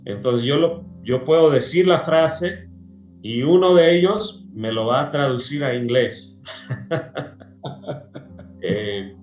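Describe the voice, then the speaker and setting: Man from Peru, pastor, slow unhurried talk, many fillers, roomy echo, faint music behind. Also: medium pitch, lively intonation. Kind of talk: casual interview chat.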